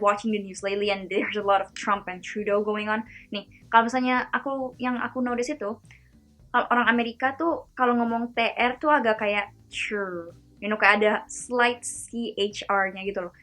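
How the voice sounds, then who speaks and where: A woman from Indonesia hosting a casual vlog-style lesson, chatty and slowing down to demonstrate words one at a time. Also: fairly high pitch, a bit bright. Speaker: video host teaching pronunciation.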